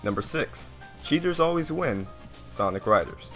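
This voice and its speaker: A man's voice: mid-range voice, lively intonation, normal speed, a bit bright, soft background music. A man reading a scripted countdown narration as the host of a video.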